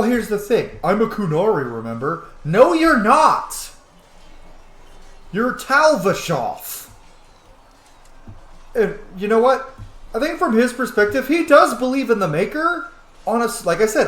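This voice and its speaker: A man's voice: sits high, big pitch swings, a little slow, long pauses, fairly bright tone. A man giving casual, rambling commentary while playing a roleplay game, chatty and full of fillers and asides. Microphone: camera setup at home. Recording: roomy echo.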